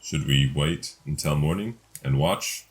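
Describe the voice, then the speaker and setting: A man's voice: a bass voice, a little slow. A man playing a tabletop fantasy game in character, speaking in solemn, formal phrases. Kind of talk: in-character roleplay. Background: background music.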